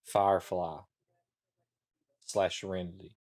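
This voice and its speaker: A man's voice: sits low, level tone, slow pace, darkish tone. A middle-aged man in casual conversation as a talk show co-host. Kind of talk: casual chat.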